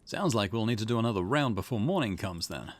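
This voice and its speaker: A man voicing an excitable junior police detective, a reactive and casual sidekick in a mystery game. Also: fairly low pitch, fast talker, no room sound, natural voice. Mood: uneasy.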